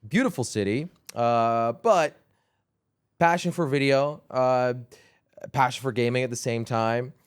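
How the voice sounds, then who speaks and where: A man's voice: medium pitch, measured pace, clear, resonant tone, no room sound. Dutch man chatting in a dry room. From southern Netherlands. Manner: relaxed, chatty, frequent fillers. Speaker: guest, gaming creator. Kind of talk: casual podcast interview.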